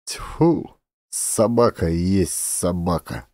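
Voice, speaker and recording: A man's voice: low voice, takes it slowly, dark tone, dry recording, studio quality, voice pushed down. A man voicing a pious, worn-out old village workman who grumbles in reproach, in an audiobook. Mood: disgusted.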